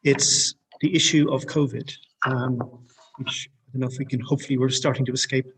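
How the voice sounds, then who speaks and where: A man's voice: mid-range voice, level tone, a little fast, fairly bright tone, rough recording. A man moderating a panel Q&A, patiently repeating and rephrasing questions for the guests.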